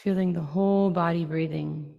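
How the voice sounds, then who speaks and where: A woman leading a guided meditation in a slow, soothing style, giving unhurried instructions in short phrases with long pauses. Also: on the low side, fairly even intonation, creaky, highs cut off. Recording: long reverberant tail.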